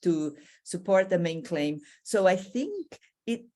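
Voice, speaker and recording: Brazilian-accented woman, middle-aged, lowish voice, earnest guest on a podcast. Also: sing-song, slow, warm tone. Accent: Brazilian Portuguese. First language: Portuguese. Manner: earnest, reflective, laughs now and then. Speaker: guest, university writing lecturer. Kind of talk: podcast interview.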